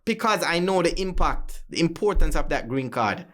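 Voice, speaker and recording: This is a man with a strong Jamaican accent and a voice that sits slightly high. He talks in a relaxed interview style, telling stories from his work with animated energy. He often acts out other people's words, and his pitch leaps up when he does. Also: moderate pace. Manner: animated, acts out quotes with big pitch jumps. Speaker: guest attorney. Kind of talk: casual conversational storytelling.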